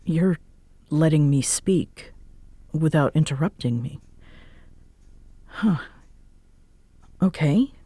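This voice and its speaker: A woman acting a guarded, grudgingly warm and easily flustered young tsundere roommate in a roleplay audio drama, with halting, wry delivery. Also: deep voice, breathy edge, dry recording, exaggerated voice. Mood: grudgingly warm, teasing.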